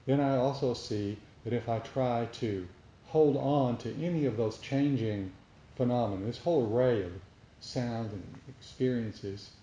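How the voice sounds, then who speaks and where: A man giving a reflective spiritual talk to a group as a meditation teacher, speaking deliberately with pauses between short phrases. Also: medium pitch, mellow. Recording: roomy echo, faint background noise.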